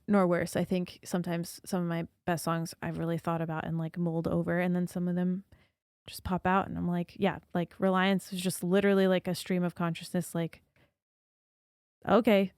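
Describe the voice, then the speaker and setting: A young woman, a singer-songwriter guest, talking casually and thoughtfully in a podcast interview, soft-spoken with many fillers. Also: on the low side, level tone, a little fast, smooth, no room sound, studio quality.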